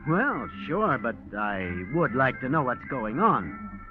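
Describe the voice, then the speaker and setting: A man's voice: mid-range voice, sing-song, takes it slowly. An American man playing a wary junior business partner and husband in 1940s radio style, guarded and questioning. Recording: lo-fi old radio, music behind.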